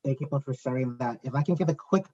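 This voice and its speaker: A man leads a panel discussion in a mid-pitched voice, steady and unhurried. His words come with frequent fillers. The recording sounds dull and lo-fi. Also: husky. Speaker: panel moderator.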